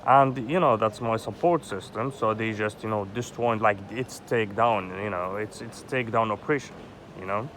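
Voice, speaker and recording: A man's voice: fairly low pitch, normal speed, few pauses. A man in his thirties from Egypt, ranting in an agitated, rambling way with lots of swearing and filler words. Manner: agitated, rambling, swears often, heavy "you know" fillers. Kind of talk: rambling personal vlog monologue. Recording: loud outdoor street traffic noise.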